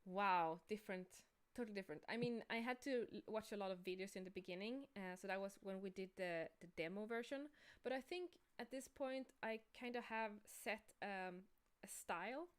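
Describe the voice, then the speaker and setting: A woman's voice: mid-range voice, fairly quick, clear, resonant tone. A woman chatting informally and enthusiastically as she hosts a livestream about her own creative work. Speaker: game creator and artist hosting a livestream. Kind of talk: casual livestream chat.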